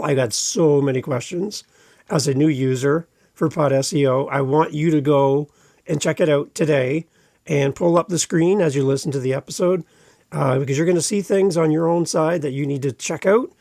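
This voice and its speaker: Upbeat male podcast host, fairly high voice. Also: darkish tone, no room sound, studio quality. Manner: upbeat, promotional. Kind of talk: enthusiastic podcast hosting.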